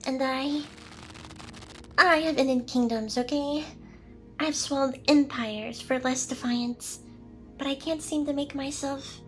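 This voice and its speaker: A woman playing a smug, seductive, possessive demon boss in an ASMR roleplay, with a teasing, theatrical delivery. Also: sits high.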